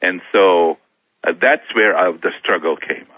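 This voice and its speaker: A middle-aged man from Kenya, a guest on a talk show, telling his own story in a halting way, often restarting his words. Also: deep voice, no room sound. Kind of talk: personal testimony in a talk show interview. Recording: thin phone-like sound, dry.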